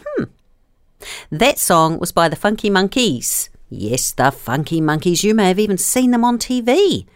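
A woman's voice: medium pitch, big pitch swings, measured pace, no echo, clean studio sound. Playful sing-song woman host. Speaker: children's radio host. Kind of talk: casual presenting to children.

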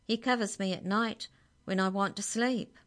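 A woman voicing a small talking budgie in a storybook, high-pitched, earnest and insistent. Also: fairly even intonation, moderate pace, fairly bright tone, lightly acted. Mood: earnest, steadier. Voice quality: high-pitched, squeaky cry. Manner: agitated, insistent.

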